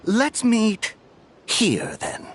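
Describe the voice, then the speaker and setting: A man's voice: sits high, wildly swooping pitch, extremely slow, a little hiss or hum, full character voice. An actor playing a wily, wheedling man haggling over a shady deal in a video game. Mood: sly, persuasive.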